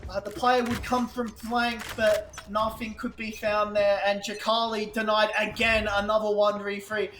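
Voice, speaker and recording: A woman giving excitable play-by-play commentary on an esports match, shouting at big plays as she loses her voice. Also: medium pitch, normal speed. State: losing her voice. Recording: roomy echo with noise.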